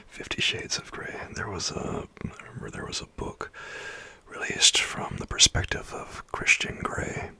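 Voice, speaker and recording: A man chatting with his livestream viewers in a soft whisper, rambling and chuckling as he goes. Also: takes it slowly.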